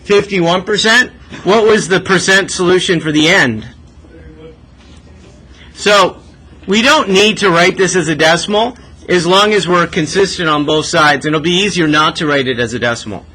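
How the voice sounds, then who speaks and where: A man's voice: high voice, moderate pace, sharp tone, rough recording. A man teaching math step by step in a conversational way, asking checking questions as he goes. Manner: conversational, often tags questions with "right?".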